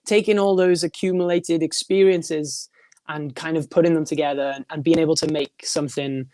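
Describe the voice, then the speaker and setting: Young guy, high voice, rambly musician chatting, dry close sound. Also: steady tone, normal speed, mellow, no room sound. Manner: rambling, many restarts and fillers, laughs often. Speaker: guest, band frontman and vocalist. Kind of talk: casual podcast interview.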